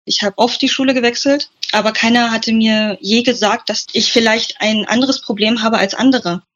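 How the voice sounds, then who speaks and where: A woman's voice: on the high side, steady tone, moderate pace, a bit bright, dull audio. A woman in her twenties speaking directly and plainly about her own experiences in an interview. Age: 20s. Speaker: trainee. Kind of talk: personal testimony.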